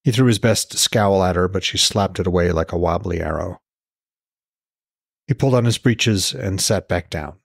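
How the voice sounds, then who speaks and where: A man narrates a novel in a low voice with some huskiness. The sound is dry, and he delivers scripted storytelling as host of his own podcast. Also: moderate pace, gravelly, dry recording.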